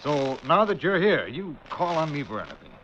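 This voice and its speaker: An actor playing a polite, fussy, accommodating doctor in a 1940s radio drama, slightly flustered and effusive. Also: male voice, fairly high pitch, sing-song, normal speed, a bit bright. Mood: polite, earnest. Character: courteous, fussy middle-class male doctor, accommodating. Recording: noisy, dull 1940s radio recording.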